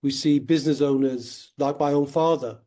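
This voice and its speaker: A man being interviewed as a guest, explaining in an earnest, steady way, his voice slightly croaky from a cold. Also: a little high, normal speed. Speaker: guest, wealth company founder. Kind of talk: conversational interview.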